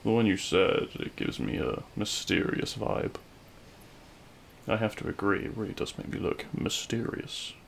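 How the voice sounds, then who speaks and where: Man playing a low, gravelly, regretful villain. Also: lively intonation, slight background noise, exaggerated voice. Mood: wistful. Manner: hushed, halting, wistful, with pauses and sighs. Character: regretful lovelorn male supervillain addressing his fallen hero. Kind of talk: scripted ASMR audio roleplay monologue.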